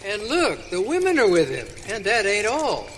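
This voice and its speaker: A man plays a nervous wagon-train watchman with a frontier in a radio play. His high voice swings widely in pitch and echoes in a hall with faint noise behind it. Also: wildly swooping pitch, normal speed, fairly bright tone, heavily acted. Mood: excited. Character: jittery, suspicious wagon-train cowhand on night watch. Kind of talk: live western radio drama acting.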